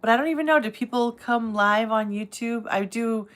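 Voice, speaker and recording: A woman's voice: on the high side, moderate pace. Chatty Boston woman live-streaming. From Massachusetts, south of Boston. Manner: chatty, rambling, friendly, laughs easily. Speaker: livestream host. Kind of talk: casual live-stream chat with viewers.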